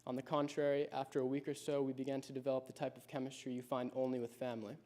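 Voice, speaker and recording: A man's voice: mid-range voice, level tone, warm tone. Reflective teenage boy reading a speech. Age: late teens. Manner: reflective, measured storytelling. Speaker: high school senior giving a speech. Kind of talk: prepared personal speech.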